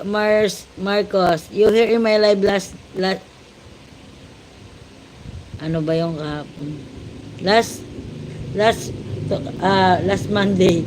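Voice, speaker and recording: A woman with a Filipino accent hosting a casual live stream, greeting viewers with repeated shout-outs and mixing Tagalog and English. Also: medium pitch, a little hiss or hum, rough recording. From Philippines. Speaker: livestream host. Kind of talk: casual livestream chat.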